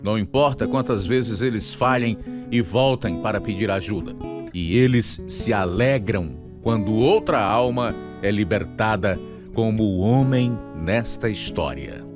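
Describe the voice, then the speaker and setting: A man's voice: lowish voice, a little slow. Solemn Brazilian male radio drama announcer. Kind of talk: scripted radio narration. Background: guitar music.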